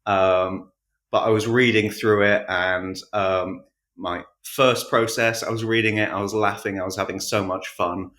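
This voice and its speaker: Male interview guest, audiobook narrator. Slightly deep voice, casual storytelling delivery, noticeably roomy recording. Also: steady tone, unhurried, a bit bright. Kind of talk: casual interview, recounting his work. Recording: roomy, echoing sound.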